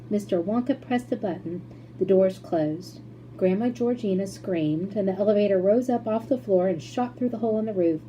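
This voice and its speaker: A woman reading a children's story aloud as narrator, in an expressive storytelling style. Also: medium pitch, low-quality recording. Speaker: school principal reading aloud. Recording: faint background noise and hum.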